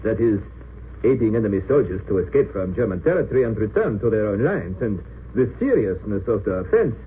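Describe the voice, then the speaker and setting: An actor in an old-time radio drama playing an earnest, deferential Romanian defense lawyer who argues formally and pleads with feeling. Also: male voice, lowish voice, very animated, fairly quick. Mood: hesitant, deferential. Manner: hesitant yet impassioned, formal oratory. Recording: tinny narrow-band old radio recording, hissy.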